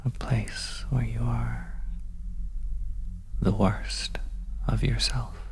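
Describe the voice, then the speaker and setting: A narrator of unclear sex performing scripted second-person horror ASMR in a soft, breathy whisper, hushed and deliberate, with long pauses. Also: mid-range voice, steady tone. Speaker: audio roleplay narrator.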